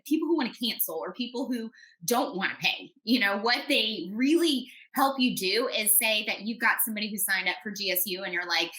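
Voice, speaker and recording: Female podcast guest, animated and confident, slightly high voice, bright edge. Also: poor audio. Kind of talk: conversational interview.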